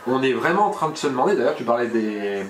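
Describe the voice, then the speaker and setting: A man co-hosts a relaxed chat over a cocktail, animated and quick to laugh, often repeating his words. His voice sits mid-range in an echoey room with faint noise behind. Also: mid-range voice. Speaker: co-host.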